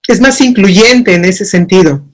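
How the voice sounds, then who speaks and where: A woman hosting an interview, putting her questions in a formal, deliberate way. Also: mid-range voice. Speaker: interviewer, host.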